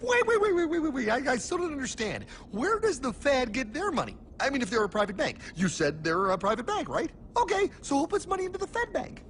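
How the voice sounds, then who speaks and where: A man's voice: extremely high pitch, very animated, brisk, few pauses, background noise. A man voicing a naive, gullible, whiny cartoon everyman who stammers in bewilderment and protest. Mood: bewildered. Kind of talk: animated cartoon dialogue. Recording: music behind the voice.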